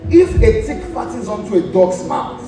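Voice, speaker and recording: A man's voice: high-pitched, very animated, moderate pace, creaky, full character voice. Man acting stately royal brother in echoing theatre. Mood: probing, reproachful. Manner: stately, declamatory. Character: courtly, effusive brother of a king, proverb-speaking. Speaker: student stage actor. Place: indoor theatre stage. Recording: echoey theatre from far off, music.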